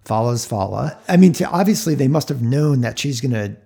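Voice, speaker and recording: A man's voice: medium pitch, animated, fairly quick, vocal fry, mellow, slightly rough. A man co-hosting a sports podcast in casual discussion.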